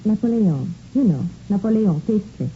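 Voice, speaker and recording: An actress playing an earnest, concerned household cook with a French accent, speaking carefully and politely in a 1950s radio drama. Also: female voice, mid-range voice, lively intonation, moderate pace, natural voice. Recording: muffled, noisy vintage radio recording.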